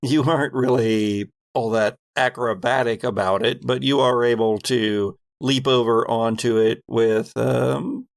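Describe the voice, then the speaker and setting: Gravelly man game-mastering a horror tabletop game. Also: medium pitch, dry recording. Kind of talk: narrating a tabletop horror role-playing game.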